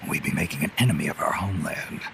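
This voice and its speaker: An actor playing a gruff, world-weary male mercenary leader in a video game, speaking tersely and dryly. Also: rumbling low, fast, full character voice. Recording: game cutscene with clear background noise.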